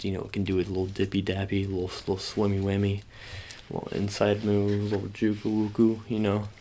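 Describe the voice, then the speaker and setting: A man's voice: fairly low pitch, mellow, slight background noise. A man doing an informal ASMR roleplay as an agent, improvising hesitantly with playful slang in a one-sided personal chat.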